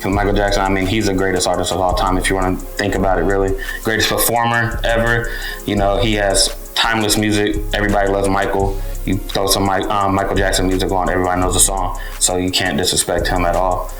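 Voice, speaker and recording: A man in his twenties from Texas, a rapper speaking earnestly in quick bursts about his favorite artists. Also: low voice, monotone, fairly bright tone, reverberant, soft background music. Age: 20s. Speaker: rapper in a music group. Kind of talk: casual self-introduction interview.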